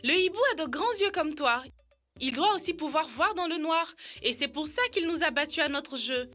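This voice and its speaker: A woman's voice: sits high, normal speed, bright, forceful tone, faint music behind. A woman playing an eager, curious young child in an educational children's cartoon dub. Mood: excited.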